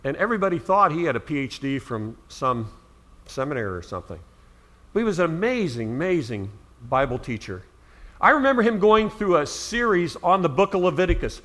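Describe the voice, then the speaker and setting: A man's voice: high voice, a bit bright. A middle-aged man from Ohio preaching a sermon as pastor, earnest, with emphatic rises on key words.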